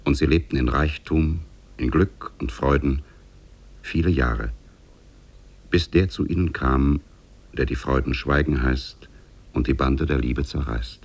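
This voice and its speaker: An actor playing a grave, measured storyteller narrating an Arabian Nights tale in a 1950s German radio play. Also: male voice, very deep voice, steady tone, husky, full character voice. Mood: solemn.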